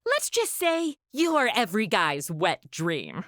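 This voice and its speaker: Sassy, swooping modern influencer. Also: female voice, medium pitch, wildly swooping pitch, slow pace, a bit bright, no echo, studio quality, heavily acted. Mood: teasing, knowing. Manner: slangy, sardonic, knowing. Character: sassy, worldly modern young influencer woman. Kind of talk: modern comic audio drama acting.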